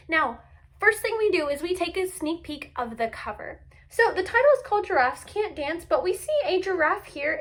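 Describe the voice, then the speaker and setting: A woman reading a picture book aloud to young children in an expressive, teacherly way, speaking to them directly. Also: high voice. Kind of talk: children's storybook read-aloud.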